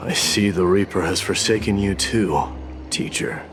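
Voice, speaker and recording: A man's voice: low voice, moderate pace. An actor playing a proud, mighty warrior king in a fantasy game, with grandiose, portentous delivery. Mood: proud, intense. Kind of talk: scripted game story dialogue. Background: music.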